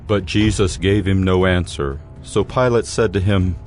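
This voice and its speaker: A man's voice: deep voice, steady tone, a little slow, a little mellow, soft background music. A man narrating scripture from a script in a dramatized audio production.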